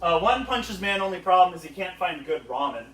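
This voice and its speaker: A man's voice: sits high, big pitch swings, brisk, fairly bright tone, slight background noise. A man giving a comedic panel presentation as a host, swearing freely in a mock rant. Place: convention panel room. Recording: echoey room, far from the mic.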